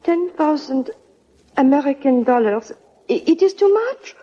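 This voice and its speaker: An actress playing a refined, pleading European princess in exile, speaking formally and haltingly in an old-time radio drama. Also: female voice, high-pitched, lively intonation, mellow. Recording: dull, muffled old radio recording.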